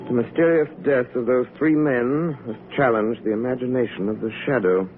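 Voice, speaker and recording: A man acting a self-assured gentleman sleuth in an old-time radio drama, articulate, formal and composed. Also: mid-range voice, moderate pace, narrow, tinny audio, close to an everyday voice. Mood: assured, serious. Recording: noisy, thin old radio recording.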